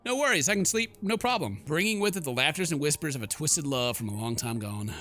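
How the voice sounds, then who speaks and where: A man's voice: fairly high pitch, lively intonation, a little fast, sharp tone, faint music behind. A man hosting a let's play, reading game text aloud in a playful mock-dramatic way with jokey asides. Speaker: gaming video host.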